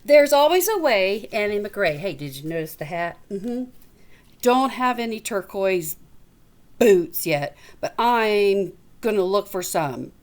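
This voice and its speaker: A woman's voice: medium pitch, lively intonation, slow pace. A woman, an elementary school teacher, reading a storybook aloud with folksy, informal asides and encouragement. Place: small space away from school, at a little desk.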